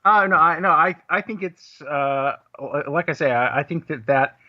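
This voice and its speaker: A man chatting casually as a talk show guest, halting and repeating words as he thinks. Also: fairly high pitch, dry recording, dull audio. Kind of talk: casual chat.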